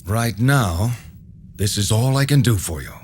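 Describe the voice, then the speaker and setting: Low, expressive enchanter. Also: male voice, unhurried, slight background noise. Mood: instructive, calm. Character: helpful, knowing male enchanter and potion keeper. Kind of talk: video game cutscene acting.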